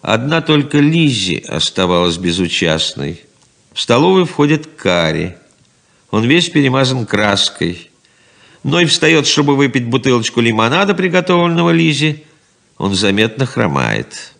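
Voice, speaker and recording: A man narrating a radio play from a script in a measured, literary manner. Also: medium pitch, big pitch swings, vocal fry, fairly bright tone.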